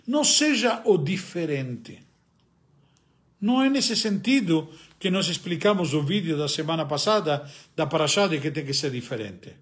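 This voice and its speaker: A man's voice: a little high, animated, normal speed. A man with an Argentine accent, a native Spanish speaker, gives a religious lesson, asking and answering his own questions with frequent hesitations. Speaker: rabbi teaching the weekly Torah portion. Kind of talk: religious teaching talk.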